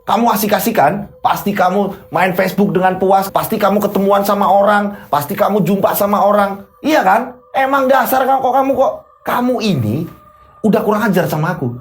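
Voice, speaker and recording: Man acting as Farid, a loud, scolding husband. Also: sits high, fairly quick, voice pushed up, natural voice. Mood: angry. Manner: curt, scolding, accusatory. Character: Farid, irritable accusing husband just home.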